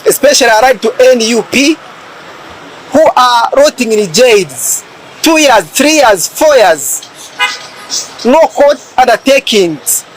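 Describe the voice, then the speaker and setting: A man giving a measured statement with hesitant pauses and fillers. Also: very high voice, expressive, slight background noise, low-quality recording. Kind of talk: street statement to camera.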